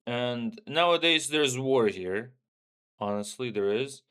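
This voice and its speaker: Young guy from syria streaming, middle pitch, blunt, swears a lot, says right? all the time. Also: animated, slow, fairly bright tone, clean tone. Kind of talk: casual livestream talk.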